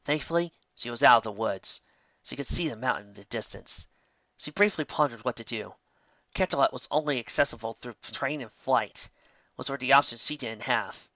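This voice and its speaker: A man's voice: mid-range voice, moderate pace, pushed and bright, no room sound, highs cut off. A man reading a fan fiction story as narrator, occasionally stumbling over words.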